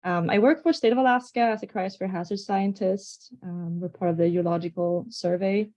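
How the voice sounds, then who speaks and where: Mellow woman with a Swedish accent. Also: medium pitch, fairly even intonation, normal speed. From Sweden. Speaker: guest scientist. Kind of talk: casual self-introduction. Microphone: video call.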